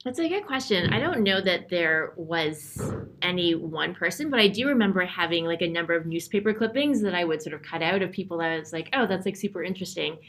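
A woman in her thirties, telling her story in a relaxed, reflective interview with many fillers. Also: on the low side, fairly quick. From Canada. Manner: thoughtful, frequent fillers and restarts. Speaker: interview guest.

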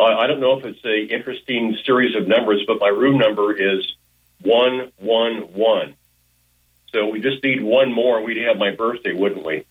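A man's voice: lowish voice, slightly rough, narrow, tinny audio. A man hosting a radio talk show from a hotel room, chatting casually with lots of fillers. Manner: friendly, rambling with fillers.

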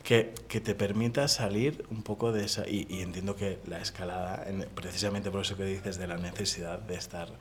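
A man hosting a podcast, interviewing a guest in a calm, reflective and affirming way. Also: low voice, a little fast, hardly any pauses, a little hiss or hum. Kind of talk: conversational interview.

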